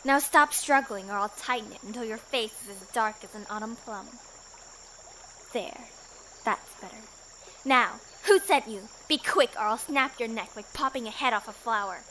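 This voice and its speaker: Actress as dangerous lady bandit, high bright voice, taunting, theatrical, audio adventure, noisy insect ambience. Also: moderate pace. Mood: menacing. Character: haughty, dangerous female bandit and kung fu fighter. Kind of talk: audio drama acting.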